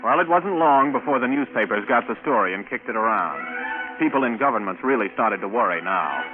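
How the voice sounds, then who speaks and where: An actor playing a matter-of-fact former rocket pilot turned computer operator in a 1950s radio drama, plainspoken and conversational. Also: male voice, mid-range voice, expressive, fairly quick, lightly acted. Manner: plainspoken, conversational first-person storytelling. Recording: tinny vintage radio recording with music.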